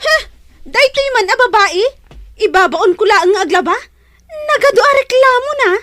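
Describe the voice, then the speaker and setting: A woman's voice: very high voice, animated, moderate pace, fairly bright tone, no echo. A woman playing a spoiled young sister-in-law in a radio drama, haughty, mean and demanding. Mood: bossy. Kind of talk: serial radio drama acting in Ilocano.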